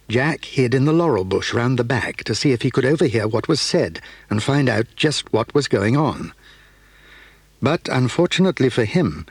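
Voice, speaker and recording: Husky man narrating a children's story. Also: mid-range voice, dry recording.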